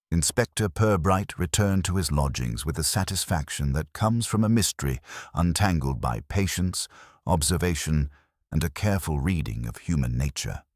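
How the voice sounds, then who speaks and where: A man reading a scripted mystery story as a narrator, in a measured, formal way. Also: a bass voice, no room sound, clean studio sound. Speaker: audiobook narrator.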